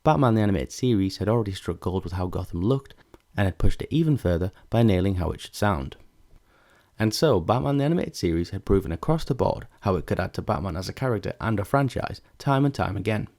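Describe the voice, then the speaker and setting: A man's voice: fairly low pitch, expressive, quick pace, a little mellow. A man reading scripted narration as the narrator of a video essay.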